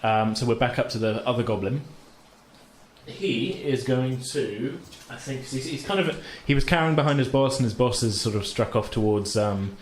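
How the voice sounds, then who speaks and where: A man's voice: medium pitch, normal speed. A man running a casual tabletop roleplaying game, explaining rules and narrating turns in a hesitant, explanatory way. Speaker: game master. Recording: roomy echo with faint background noise.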